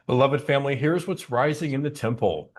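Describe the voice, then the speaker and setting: A man leading an online spiritual service, speaking in a gentle, inviting and personable way as he guides listeners. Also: medium pitch, brisk.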